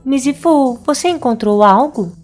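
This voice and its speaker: A woman's voice: high-pitched, lively intonation, normal speed, darkish tone, soft background music. A woman with a Brazilian accent voicing a confident, inquisitive young witch detective in a children's cartoon. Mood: assured.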